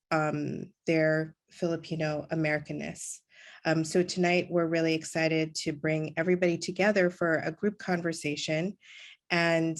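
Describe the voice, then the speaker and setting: Hesitant woman moderating a panel. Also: low voice. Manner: hesitant, many ums.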